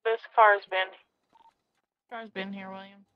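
A woman's voice: mid-range voice, little pitch movement, a little slow, dry recording, highs cut off. A woman talking casually as a paramedic partner in online game roleplay.

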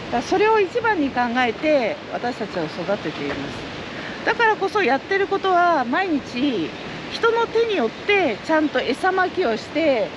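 A woman's voice: high voice, highs cut off, background noise. A middle-aged woman who runs a trout farm, talking earnestly and chattily outdoors beside fish ponds in an interview. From Japan. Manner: lively, chatty and earnest, with many fillers.

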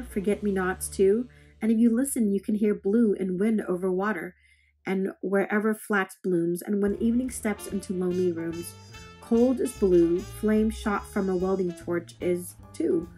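Female reader for children's poetry: medium pitch, expressive and measured, plucked guitar music underneath. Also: husky, rough recording. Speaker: read-aloud host.

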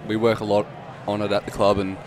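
A young Australian man, a footballer giving low-key post-match answers on a headset mic. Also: completely flat delivery, quick pace. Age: young adult. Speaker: footballer guest. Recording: noisy room behind.

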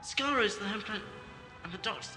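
A man's voice: high voice, animated, brisk. A man playing an uneasy young travelling companion in a sci-fi audio drama, inquisitive and sceptical. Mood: uneasy, accusing. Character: questioning, uneasy young male companion Greg. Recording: echoey, loud music and effects.

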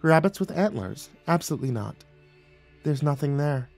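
A man's voice: medium pitch, animated, brisk, gravelly, soft background music. A man voicing an irritable, imperious female water nymph with folksy sass in an audiobook, scolding and giving orders. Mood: soothing, firm. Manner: scolding, bossy, commanding. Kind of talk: audiobook character acting.

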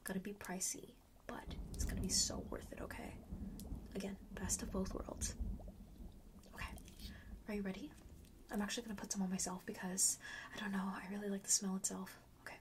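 A woman's voice: mid-range voice, flat delivery, fairly quick, pauses often. Whispering woman in a playful ASMR shop roleplay. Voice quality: breathy whisper, mostly unvoiced. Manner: playful, chatty, repeats phrases for emphasis. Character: friendly, boastful enchanted-forest perfume seller. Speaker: ASMR roleplayer playing a fragrance shopkeeper.

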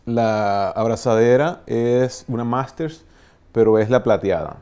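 A man in his thirties from Venezuela with a Venezuelan Spanish accent, an orchestral clarinetist introducing himself to camera in a small shop studio, speaking unhurriedly with many pauses and fillers. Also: medium pitch, a little mellow, clean tone. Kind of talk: relaxed self-introduction to camera.

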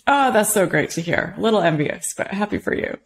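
A woman's voice: medium pitch, expressive, a little fast, creaky, a little hiss or hum. A woman in her thirties hosting an interview over a video call from her living room, upbeat and keen. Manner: upbeat, enthusiastic, asks open questions. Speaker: host. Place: home living room, indoors. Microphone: video call webcam.

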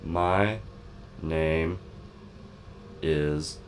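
Male android, very slow halting speech, deep voice, blank learner, audio drama, noisy ambience. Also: exaggerated voice. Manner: halting, word by word, echoing what he hears. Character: newly activated male android, slowly learning to speak.

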